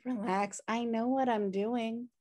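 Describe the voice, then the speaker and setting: A woman's voice: on the high side, steady tone, unhurried, darkish tone, natural voice. A woman playing a sardonic, pushy slacker sister in her thirties, dry and teasing, at a comedy table read on a video call. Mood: breezy, pushy. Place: home room on a video call.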